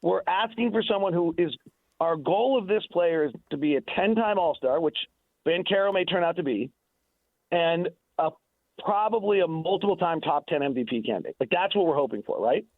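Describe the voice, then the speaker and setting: A man's voice: fairly high pitch, expressive, normal speed, dry recording. An American man doing unscripted sports analysis on radio, insistent and emphatic, often repeating himself, over a thin phone line. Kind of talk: unscripted sports debate and commentary.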